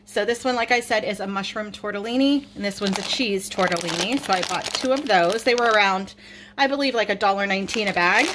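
A chatty middle-aged woman talks through her groceries in a relaxed, upbeat way, often stopping on an "um." Her voice sits at an ordinary pitch for a woman. There is a faint hiss of background noise in her home kitchen. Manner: chatty, upbeat, with many ums. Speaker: vlogger showing a grocery haul. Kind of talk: casual chat over a grocery haul.

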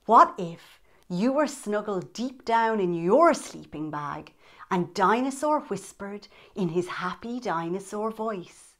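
Storytime lady reading a kids book, slow and super animated, dramatic emphasis. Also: mid-range voice. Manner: expressive, dramatic emphasis, addressing young children. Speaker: children's storytime host. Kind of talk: animated read-aloud of a picture book.

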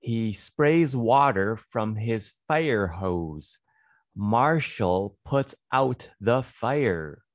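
A man in his thirties reads aloud as a teacher for young English learners. His voice sits a little low and moves very slowly, deliberately repeating for practice. The sound is dry and close, from a desk microphone. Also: lively intonation, dry recording. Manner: deliberate, repeats words and sentences. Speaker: English reading teacher for children. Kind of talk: slow read-aloud phonics lesson. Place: small indoor home studio. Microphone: close desk microphone on boom arm.

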